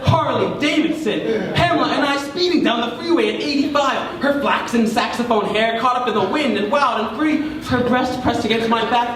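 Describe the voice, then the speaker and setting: A young man performing theatrical spoken word poetry on stage, with dramatic, deliberate phrasing and drawn-out emphasis. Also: extremely high pitch, a bit bright. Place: large room before a live audience. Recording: echoey hall, distant mic, clear background noise.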